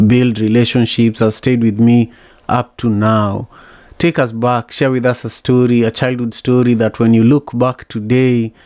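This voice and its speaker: A man from Kenya hosting a podcast interview, asking questions in a measured, steady way. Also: mid-range voice, no room sound. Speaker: podcast host.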